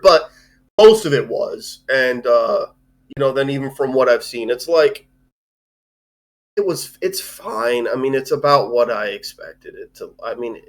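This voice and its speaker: A man co-hosting a casual live movie discussion, talking in a halting, rambling way with plenty of swearing. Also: medium pitch. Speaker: co-host reviewing a film. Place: live online stream.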